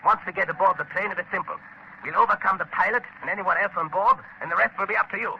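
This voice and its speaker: An actor playing a ruthless, smugly cunning saboteur boss in theatrical 1930s radio drama style. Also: male voice, high voice, fast talker, gravelly. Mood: smugly confident. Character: ruthless, commanding male saboteur spy chief, smugly cunning. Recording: muffled, noisy 1939 radio broadcast.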